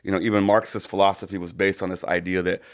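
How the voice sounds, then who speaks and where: Rambling, thoughtful low-voiced co-host. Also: male voice, little pitch movement, fast. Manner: thoughtful, rambling, many restarts. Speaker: podcast co-host. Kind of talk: casual podcast conversation.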